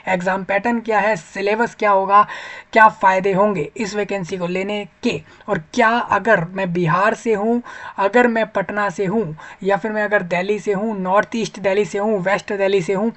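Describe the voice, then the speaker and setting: A man hosting an informal explainer video, talking viewers through a job notice and repeating himself in long spoken lists. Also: high voice. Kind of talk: informal explainer video, screen-share commentary.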